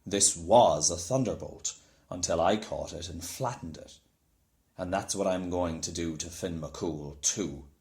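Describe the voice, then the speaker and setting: A man's voice: sits low, measured pace, darkish tone, voice pushed down. A man voicing a huge, gluttonous bully of a giant in a storybook, bellowing and blustering. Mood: boastful, threatening. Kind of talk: storybook character voice.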